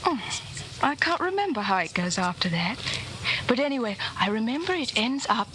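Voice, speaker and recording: A woman's voice: a little high, sing-song, normal speed. Spirited young rebel woman, noisy vintage radio. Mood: soothing, chatty. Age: young adult. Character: bold, practical young rebel woman, tender lover. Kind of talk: 1940s radio drama acting. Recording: noisy old 1940s radio recording.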